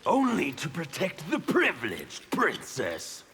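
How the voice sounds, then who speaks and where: Fanatical rebel game villain, slow gravelly menacing voice, big reverb. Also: male voice, on the high side, huge pitch swings, noisy background, heavily acted. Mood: scornful. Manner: menacing, theatrical, deliberate. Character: Havik, fanatical male anarchist revolutionary fighter. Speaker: video game character. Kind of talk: dramatic fighting game dialogue.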